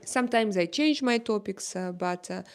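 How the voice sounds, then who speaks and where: A woman's voice: medium pitch, animated, normal speed. A young woman with a Russian accent chats on her live stream and speaks to viewers by name. Her English is non-native, loose and full of fillers. She sounds easy and talkative. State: just back from a workout. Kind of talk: casual live chat reading viewer comments.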